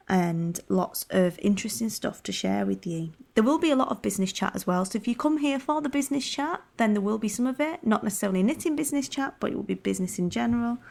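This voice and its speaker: A woman's voice: medium pitch, vocal fry, darkish tone. A woman hosting a podcast, chatting in an upbeat, conversational way. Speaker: podcast host. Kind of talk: casual podcast chat.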